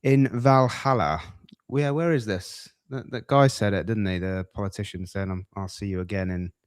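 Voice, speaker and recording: A man with a fairly low voice reacts casually as a podcast host. He muses tentatively, and the sound is very dry, with no room echo. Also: fairly even intonation, normal speed, clean tone.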